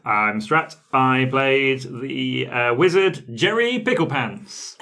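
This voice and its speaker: A man's voice: mid-range voice, lively intonation, slow pace, pushed and bright. A man chatting casually as a cast member on a podcast.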